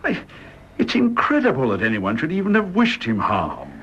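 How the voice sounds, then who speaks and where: A man's voice: medium pitch, wildly swooping pitch, normal speed, fairly bright tone, slightly rough, exaggerated voice. A man with a British accent playing a courteous, upright major in a radio drama, polite and formal. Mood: reproachful. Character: courteous, upright retired police major, protective of family. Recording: dull, muffled radio drama recording.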